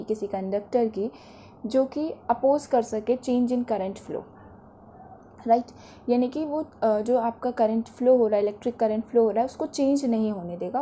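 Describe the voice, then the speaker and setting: A woman's voice: on the high side, mellow, a little hiss or hum. A woman with an Indian accent explains material as a lecturer, in a didactic way with short check-in questions. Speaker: physiotherapy lecturer.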